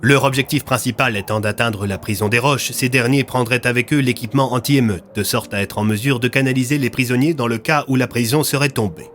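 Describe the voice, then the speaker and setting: A man's voice: medium pitch, a little fast, hardly any pauses, fairly bright tone, slight background noise. A man narrating a scripted audio fiction as a storyteller. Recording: music under the voice.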